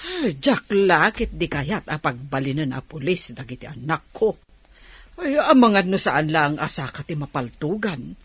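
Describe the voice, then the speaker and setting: Opinionated, worrying auntie, Ilocano accent, husky, slow and very animated, radio play. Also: female voice, low-pitched, no echo, heavily acted. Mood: fretful, scolding. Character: fretful, opinionated auntie of a village family. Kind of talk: Ilocano radio drama acting. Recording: dry studio with music bed.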